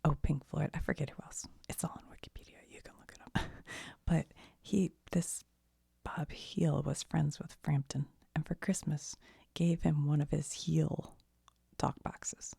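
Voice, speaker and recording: A woman speaks in a very breathy, husky near-whisper with heavy vocal fry. She rambles softly in an unscripted ASMR style, tentative and conversational, often letting thoughts trail off. The sound is close and dry. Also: low voice, fairly even intonation, a little slow, no room sound. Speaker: ASMR video host.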